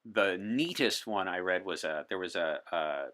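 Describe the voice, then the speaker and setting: Bright, very low-pitched articulate man. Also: animated, measured pace. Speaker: podcast guest in the persona of a time traveler from 1964. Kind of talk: in-character interview talk on science fiction and current events.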